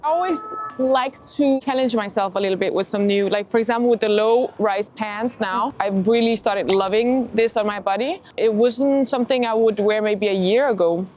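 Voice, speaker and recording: A woman's voice: medium pitch, normal speed, hardly any pauses, a little mellow, slight background noise. A woman in her thirties, raised in Denmark, chatting about her outfit in a street interview, with a friendly, talkative manner. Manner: chatty, laughs often, fillers like "you know". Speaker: interviewee, dentist and influencer. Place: outdoors on a city street.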